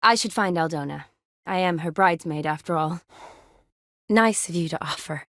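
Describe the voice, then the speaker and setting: An actress playing a young army medic in a fantasy video game, a warm, playful friend with an expressive, teasing delivery. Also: female voice, on the low side, moderate pace, dry recording, studio quality. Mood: matter-of-fact. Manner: expressive, teasing, sighs and soft chuckles.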